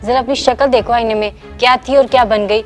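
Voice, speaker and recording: A woman's voice: fairly high pitch, fairly even intonation, fairly quick, faint music behind, natural voice. A woman playing a boastful, meddling young newlywed friend with a blunt, needling manner. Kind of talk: acted moral-story drama dialogue.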